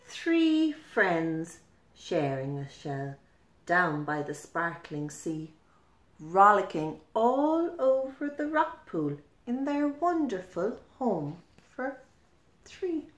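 Very slow, animated woman storyteller. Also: medium pitch. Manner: expressive, deliberate, emphatic. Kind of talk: animated children's picture-book reading. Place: small furnished room at home. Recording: roomy indoor echo.

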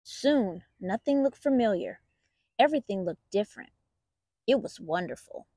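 A woman reading a children's picture book aloud as a storytime host, in an expressive, deliberate storyteller's manner. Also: mid-range voice, no room sound, studio quality.

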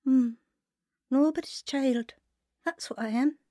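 A wistful, lonely woman in a radio drama. She speaks earnestly and plaintively in a slightly high female voice, with music behind her. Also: takes it slowly. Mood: sad, homesick. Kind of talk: first-person radio drama narration and dialogue.

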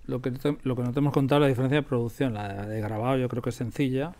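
A man's voice: mid-range voice, fairly even intonation, fast talker, mellow. A man with an accent from Spain, explaining production work casually in an interview, repeating phrases for emphasis. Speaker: audio drama producer.

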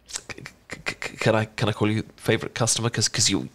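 A man with a British accent playing a shy, smitten young chocolatier in an ASMR roleplay, speaking in nervous, halting stammers. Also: on the low side, steady tone, fairly bright tone, natural voice. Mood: bashful, hopeful. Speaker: voice actor in an audio roleplay. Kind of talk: ASMR roleplay, one-sided intimate conversation.

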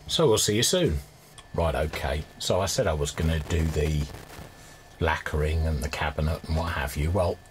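Low-voiced man, casual demonstration. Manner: informal, thinking aloud while working. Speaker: restorer presenting a hobby repair video. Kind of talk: casual hands-on demonstration commentary. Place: indoor cluttered electronics workbench. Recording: clear background noise, workshop ambience.

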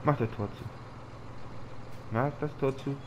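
A man with a slight cold chats his way through a farming game, thinking aloud in a loose, rambling manner. His voice sits at a middling pitch. A steady hum of noise runs under the recording. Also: measured pace. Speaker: gaming channel host. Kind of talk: casual let's-play commentary. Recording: audible background noise.

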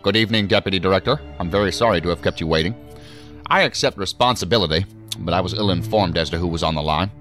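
A man playing a weary, dryly witty federal assistant director in an audio drama, speaking in a formal, measured, articulate way. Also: sits low, a bit bright, soft background music. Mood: controlled, formal.

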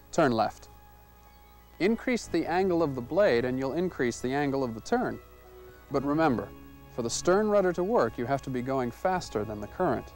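A man in his thirties narrating a canoeing lesson, explaining strokes in a clear, measured way. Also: mid-range voice. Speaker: canoeing instructor. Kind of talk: instructional narration.

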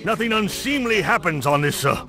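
A man's voice: sits high, animated, moderate pace, bright, forceful tone, soft background music, heavily acted. An actor playing an awkward, lecherous henchman in a video game, hesitant and smarmy. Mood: awkward, flirting. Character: awkward, lecherous male henchman flirting clumsily.

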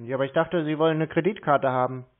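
A man chatting casually on a phone call, stubborn, deadpan and slow to cooperate. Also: a little high. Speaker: prank call host, scambaiter. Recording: thin, narrow telephone line.

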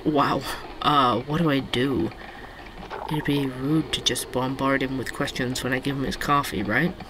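A man's voice: mid-range voice, fairly even intonation, measured pace, noisy background, natural voice. An actor playing a nervous, eager male barista and amateur reporter in an audio drama, flustered and hesitant. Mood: flustered, awed.

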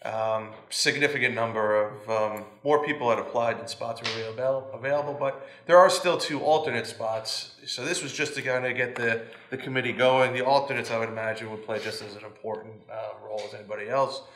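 A man serving as mayor, chairing a town council meeting in a relaxed, informal way with frequent "you know"s. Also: medium pitch, a little fast, few pauses. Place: council chamber, indoors. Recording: roomy hall with faint noise.

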